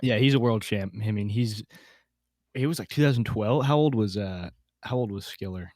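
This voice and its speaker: Male podcast host, fairly low pitch, dry sound, jokey, self-mocking, informal slang. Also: normal speed, darkish tone, no echo. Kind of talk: casual podcast chat.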